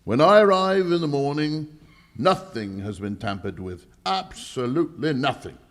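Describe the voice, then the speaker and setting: A man's voice: fairly high pitch, very animated, moderate pace. A man playing an alarmed, pompous lordly bank governor in a live 1940s-style radio mystery, emphatic and melodramatic. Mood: grave, baffled.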